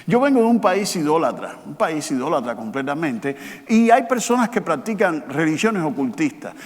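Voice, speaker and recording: A man preaching a sermon as pastor, with emphatic repetition, rising to loud passages and dropping to quiet, slow ones. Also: on the high side, very animated. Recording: long hall reverb.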